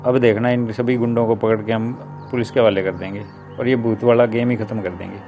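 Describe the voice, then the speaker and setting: A man's voice: lowish voice, level tone, a little fast, highs cut off, close to an everyday voice. A man playing a confident, boastful husband who reassures in a children's story. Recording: music behind the voice. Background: music.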